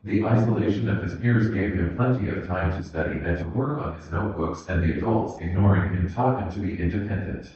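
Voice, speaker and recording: A low-pitched man narrates a story as if for an audiobook. His voice rings with heavy room echo, and the sound is somewhat muffled. He reads from a written text as a fan fiction narrator. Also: a little fast, hardly any pauses. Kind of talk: scripted audiobook-style narration. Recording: muffled, very echoey room recording.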